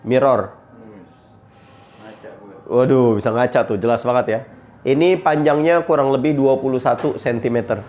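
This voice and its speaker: Stuffy-nosed man pitching knives. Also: a little mellow. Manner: chatty, upbeat selling patter with pious exclamations. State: stuffy nose from a cold. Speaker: knife seller. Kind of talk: casual product showcase.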